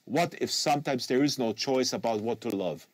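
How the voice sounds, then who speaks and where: A man's voice: medium pitch, normal speed, no echo, voice pushed down, close to an everyday voice. A man voicing a weary, sardonic American field agent in a novel reading, with dismissive, needling, colloquial delivery. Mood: challenging, sardonic.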